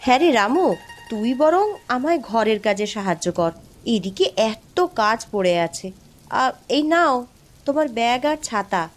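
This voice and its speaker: Woman as a high, fussing village housewife. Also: expressive, normal speed, soft background music, lightly acted. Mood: bossy, caring. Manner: scolding, exclamatory. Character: bossy, fussing village housewife who cares. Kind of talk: cartoon dialogue.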